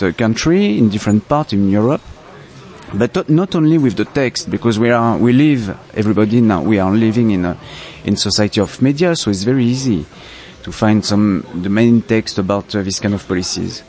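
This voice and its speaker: French-accented filmmaker, fairly low voice, reflective, stops often to find his words. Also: a little mellow. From France. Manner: halting, searching for words, reflective. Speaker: filmmaker, interview guest. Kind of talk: festival interview.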